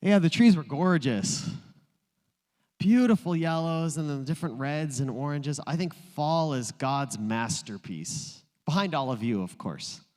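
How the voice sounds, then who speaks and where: A man's voice: on the high side, animated, measured pace, darkish tone. A male pastor preaching a sermon in a playful, joking storytelling way. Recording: long reverb in a large room.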